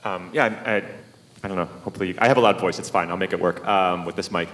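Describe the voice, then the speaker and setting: A man moderating a conference panel, prompting the panelists in quick bursts. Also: low-pitched, a bit bright. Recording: distant from the mic.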